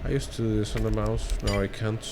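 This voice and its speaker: A man with a fairly low voice talks over very loud background noise. He is drunk and rambles through casual gameplay commentary with plenty of swearing. His English carries the accent of a native Spanish speaker. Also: fairly even intonation, brisk. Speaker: streamer, speedrunner.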